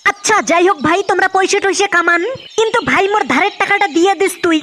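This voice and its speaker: A performer with a pitched-up voice filter plays a clueless, grumbling cartoon buddy. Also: steady tone, fast talker, very noisy. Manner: complaining, crude. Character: cartoon elder buddy, clueless, grumbling, foul-mouthed creditor. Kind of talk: comic cartoon banter.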